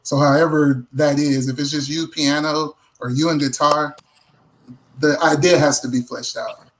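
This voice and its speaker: Measured man with faint background noise. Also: a little high, little pitch movement, low-quality recording. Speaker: songwriter and producer, panel guest. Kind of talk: casual panel chat, giving advice.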